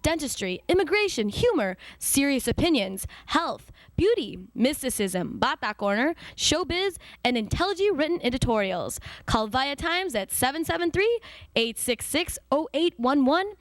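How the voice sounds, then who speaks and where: Woman, ad announcer, sing-song promo read, high voice, bouncy pitch. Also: very animated, moderate pace, dry recording. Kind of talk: upbeat read advertisement.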